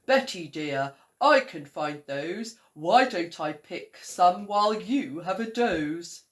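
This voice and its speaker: Woman acting male scarecrow bridegroom, storybook, earnest, loving, hearty, unhurried declaiming. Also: fairly low pitch, lively intonation. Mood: helpful.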